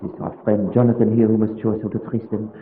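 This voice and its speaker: A man's voice: on the low side, level tone, quick pace, slight background noise, natural voice. A man playing a grave, learned vampire hunter in an old-time radio play, speaking formally and solemnly with oratorical, archaic phrasing. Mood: grave warning. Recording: muffled band-limited radio recording, long echoing reverb.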